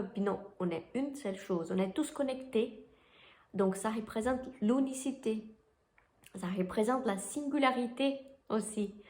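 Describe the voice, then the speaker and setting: Brazilian lady, portuguese accent, eager reiki teacher gushing about a book, keeps restarting, bit high voice, echoey room. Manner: enthusiastic, searching for words with many restarts. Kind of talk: casual book talk to camera.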